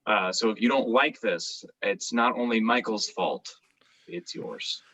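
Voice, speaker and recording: Bright-voiced man joking on a podcast. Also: medium pitch, measured pace, slight background noise, low-quality recording. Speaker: podcast co-host. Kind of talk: casual joking banter.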